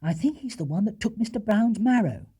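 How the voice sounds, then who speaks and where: Man voicing an earnest, lively young bear. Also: sits high, a little fast, husky, pitched above the performer's own voice, heavily acted. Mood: earnest, excited. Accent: British. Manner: earnest, matter-of-fact explaining. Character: earnest young male bear playing detective.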